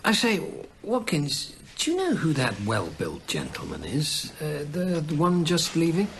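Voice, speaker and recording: A nervous, bookish English gentleman in a radio play. His pitch swings expressively as he stammers politely and hesitates, and there is faint noise behind him. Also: wildly swooping pitch, unhurried, heavily acted. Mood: polite, flustered. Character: anxious, polite, scholarly English gentleman, increasingly haunted. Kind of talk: radio drama acting.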